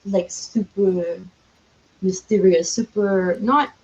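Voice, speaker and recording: A woman speaks as a podcast guest in a casual, exuberant, chatty manner. Her pitch sits in an average range for a woman, and the recording is a little dull and lo-fi. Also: fairly even intonation, slow pace. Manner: chatty, self-described loud and exuberant. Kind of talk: casual chat.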